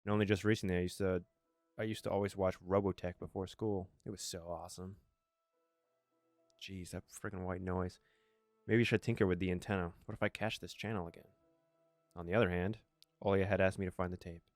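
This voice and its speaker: A man's voice: low-pitched, little pitch movement, fairly quick, long pauses, a little mellow, no room sound, soft background music. A man doing a casual let's play, reading narration aloud and commenting on it, sometimes tripping over his words. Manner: stumbles over words while reading, self-correcting.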